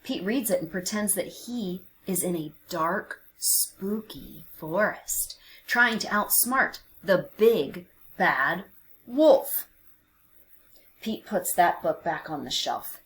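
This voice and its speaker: Slow-paced woman reading a children's storybook. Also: on the low side, expressive, a bit bright, rough recording. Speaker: children's read-aloud narrator. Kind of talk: expressive storybook narration for young children.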